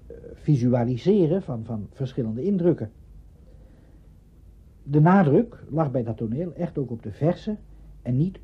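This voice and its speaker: A man's voice: medium pitch, husky. A man giving scholarly, hesitant explanations in an interview. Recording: dull archival interview recording.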